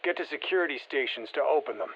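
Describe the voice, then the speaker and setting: An easygoing special forces operator for a video game. He talks mission business over a muffled radio, in a plain-spoken, wry, colloquial way at a medium male pitch. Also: animated, fast, a bit bright, husky. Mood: wry, focused.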